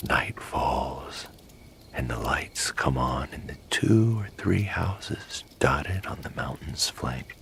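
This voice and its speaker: A man narrating a guided sleep meditation in a hushed, breathy, gravelly voice, soothing and unhurried like a bedtime storyteller. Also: lively intonation. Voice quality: breathy, whispery, gravelly low voice.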